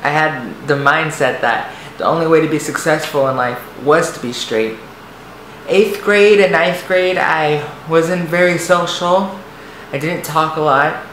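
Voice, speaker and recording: Young man, light soft voice, halting and rambling confessional delivery, recorded in a roomy space with faint background hiss. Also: a little high. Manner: hesitant, rambling, many restarts and fillers. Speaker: vlogger. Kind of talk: personal storytelling to camera. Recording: echoey room, faint noise.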